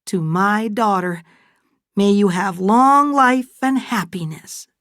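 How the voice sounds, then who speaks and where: A woman's voice: mid-range voice, takes it slowly, fairly bright tone, no room sound, clean studio sound, pitched above the performer's own voice. A woman reading aloud and voicing a doting, insistent older woman who coaxes and fusses. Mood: toasting. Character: affectionate older woman, motherly and pushy.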